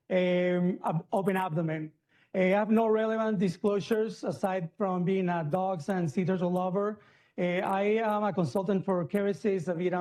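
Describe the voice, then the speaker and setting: A man's voice: high-pitched, darkish tone. A middle-aged man from Peru, a surgeon giving a chatty medical lecture, full of fillers and restarts.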